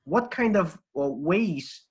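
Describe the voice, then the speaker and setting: A man's voice: sits high, lively intonation, slow pace, husky. A middle-aged man speaking as a podcast guest and teacher, explaining in an emphatic, conversational way with repeated phrases.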